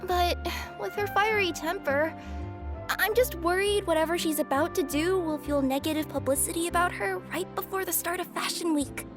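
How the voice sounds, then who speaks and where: A young female courier from a video game, with a very high, girlish voice. She sounds polite, earnest and fretful, hesitating as she explains. Music plays clearly behind her. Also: moderate pace. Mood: worried. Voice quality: youthful, girlish. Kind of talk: video game character acting.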